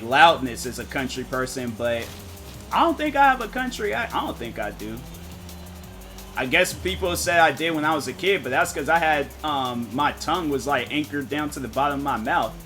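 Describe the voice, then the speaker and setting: Bright-voiced man rambling on stream. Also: medium pitch. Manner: rambling, filler-heavy, thinking out loud. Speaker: game streamer. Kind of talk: casual live-stream chat.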